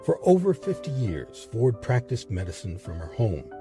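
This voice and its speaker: A man narrating a scripted history documentary in a measured, expressive storytelling manner. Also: mid-range voice, soft background music.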